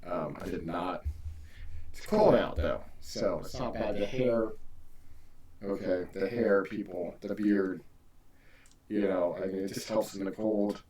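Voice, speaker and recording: A man's voice: deep voice, unhurried, long pauses, dark tone. A man hosting a casual reaction commentary, rambling and self-deprecating in an offhand way. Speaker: channel host reacting to his old video.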